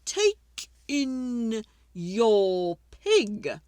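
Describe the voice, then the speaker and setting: A woman voicing a wise old man in a children's storybook, pondering and deliberate, drawing out the words. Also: on the high side, sing-song, darkish tone, no echo, clean studio sound, exaggerated voice. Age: older. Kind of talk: children's storybook read-aloud.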